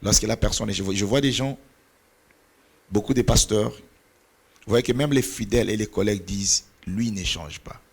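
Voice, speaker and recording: A man's voice: fairly low pitch, moderate pace, gravelly, pauses often, husky. A middle-aged man whose first language is Portuguese, speaking as a pastor and mentor in an earnest, preaching cadence, sometimes searching for words. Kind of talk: church talk on mentoring.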